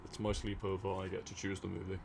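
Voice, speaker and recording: A man from Europe talking in an unscripted, musing, unhurried ASMR ramble to his listeners. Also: sits low, completely flat delivery. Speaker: audio roleplay creator talking to listeners. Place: by an open window at a desk. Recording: clear city noise with distant traffic. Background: city ambience, vehicles, sirens.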